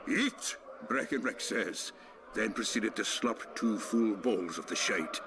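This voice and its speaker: A man's voice: fairly low pitch, slow, noisy background. A man playing a brash, bawdy dwarf, a colourful and boisterous storyteller in a fantasy game. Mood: indignant, bawdy. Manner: colourful, swearing, hearty storyteller. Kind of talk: dramatic game dialogue.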